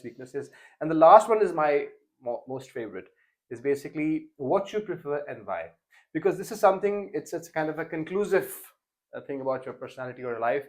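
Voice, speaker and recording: A young man hosting a casual interview podcast, talking with frequent fillers and restarts. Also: mid-range voice. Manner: hesitant, many fillers and restarts. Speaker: podcast host.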